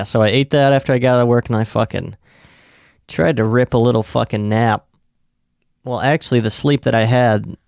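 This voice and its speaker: Slow, gravelly-voiced tired young man. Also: fairly low pitch, darkish tone, no room sound, clean studio sound. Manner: profane, laid-back, trailing off with fillers. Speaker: podcast co-host. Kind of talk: casual rambling monologue.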